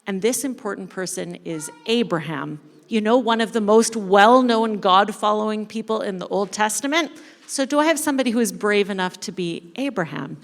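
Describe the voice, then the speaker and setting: A woman giving a playful, dramatic live narration of a story, pausing deliberately as she leads an interactive reading. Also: mid-range voice, creaky. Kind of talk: theatrical live scripted narration of a parable. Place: large indoor church space before an audience. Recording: echoey hall with long reverb.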